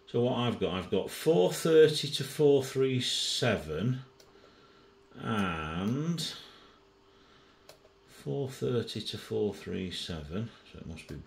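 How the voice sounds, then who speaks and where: A man giving an informal software demonstration as a tutorial presenter, methodical and thinking aloud, recorded indoors at a desk. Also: mid-range voice, sing-song, slow pace, vocal fry, echoey room.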